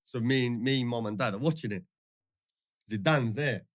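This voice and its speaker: A man's voice: medium pitch, moderate pace, dry recording, clean studio sound. A young man, telling stories from his football career in a casual podcast chat, slangy and laughing often. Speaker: guest, former footballer.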